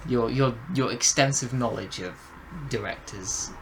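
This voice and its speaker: A man in a casual review chat as a co-host, speaking in halting phrases and often repeating words. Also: mid-range voice, a little hiss or hum, poor audio. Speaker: podcast co-host reviewing audio dramas.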